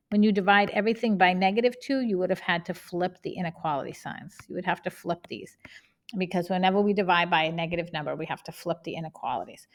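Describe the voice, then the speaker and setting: A woman with a Boston accent teaching a math lesson, explaining each step plainly and checking in with "okay?". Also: fairly low pitch.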